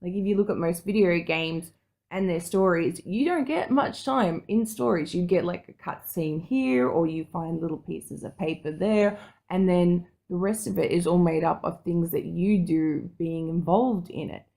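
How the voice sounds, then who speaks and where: A woman's voice: lowish voice, moderate pace, vocal fry, warm tone. A woman co-hosting a podcast, chatting casually in an enthusiastic, blunt and slangy way. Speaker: podcast co-host. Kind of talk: casual chat.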